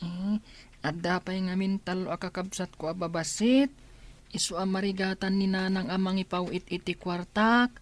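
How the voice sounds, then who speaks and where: A woman's voice: medium pitch, steady tone, moderate pace, close to an everyday voice. Woman playing a pleading, dutiful schoolchild. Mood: meek, dismayed. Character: pleading, dutiful school-age child eager to study. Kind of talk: Filipino radio drama acting.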